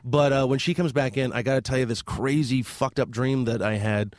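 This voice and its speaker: A man hosting a casual chat, halting with many restarts and dry jokes. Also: mid-range voice, fairly even intonation, dry recording. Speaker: podcast host.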